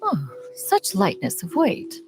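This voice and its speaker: A woman's voice: medium pitch, huge pitch swings, slow, heavily acted. A woman playing a proud, protective immortal in a video game, speaking in lofty, formal, archaic phrasing. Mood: stately, kindly. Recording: game music clearly behind the voice.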